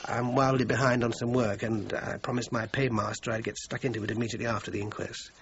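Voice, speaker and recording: An actor playing an earnest, agitated composer, stammering, self-deprecating and insistent, in naturalistic radio drama. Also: male voice, medium pitch, steady tone, fast, natural voice. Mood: urgent explaining. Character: earnest, agitated hack composer convinced his sister was replaced. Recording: dull lo-fi radio recording, background noise.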